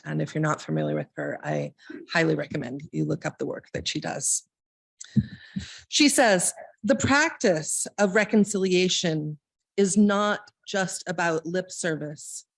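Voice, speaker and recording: A woman leading a church service, reading aloud and introducing speakers in a measured, deliberate way. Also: on the low side, lively intonation, vocal fry, no echo. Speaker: service leader.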